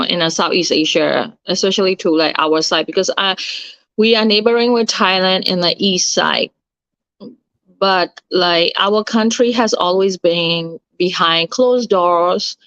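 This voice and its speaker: A woman's voice: mid-range voice, fairly bright tone, no room sound. A woman, chatting as an interview guest and searching for words with many fillers. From Myanmar. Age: 30s. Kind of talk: casual interview chat. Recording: dull, slightly lo-fi sound.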